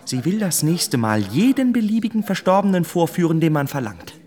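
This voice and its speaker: Excitable, animated séance enthusiast. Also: male voice, a little high, brisk. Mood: excited, persuading. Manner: animated, urging.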